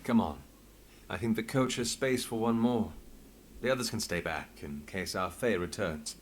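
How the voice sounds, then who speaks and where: An actor playing a composed, wry investigator with a measured, polite manner in a fully voiced video game. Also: male voice, on the low side, animated, slight background noise, close to an everyday voice. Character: composed male investigator, former street thief, wry and courteous. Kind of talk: scripted video game voice acting.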